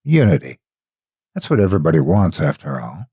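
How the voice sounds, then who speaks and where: Male horror narrator, low register, dry studio-tight sound, emphatic and theatrical storytelling. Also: sing-song, fairly quick, warm tone, breathy edge, dry recording. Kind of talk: scripted dramatic narration.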